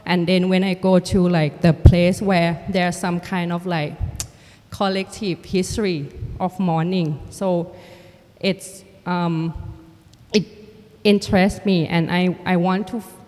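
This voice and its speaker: A Thai woman artist and filmmaker, a native Thai speaker, talking reflectively in a halting way with many restarts and fillers. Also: on the low side, fairly even intonation, a little mellow. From Thailand. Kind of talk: reflective conversation. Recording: hall with long reverb.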